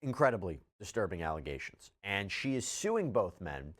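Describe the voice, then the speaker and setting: A man's voice: low voice, big pitch swings, moderate pace, fairly bright tone. A man hosting a legal news show, explaining and reading out documents in an articulate, emphatic, formal manner. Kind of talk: scripted news narration and legal commentary.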